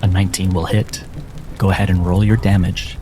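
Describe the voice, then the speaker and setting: A man running a tabletop game as game master, narrating dramatically in deliberate, theatrical phrases over background music. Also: deep voice, little pitch movement, warm tone, a little hiss or hum. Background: cinematic background music.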